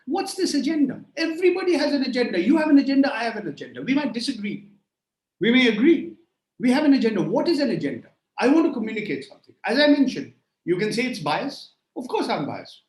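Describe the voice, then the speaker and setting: Man in his sixties, high voice, emphatic lecturing, echoey room on a video call. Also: very animated, fairly quick, darkish tone. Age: 60s. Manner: emphatic, rhetorical, repeats phrases in lists. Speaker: guest speaker. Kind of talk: lecture answering audience questions.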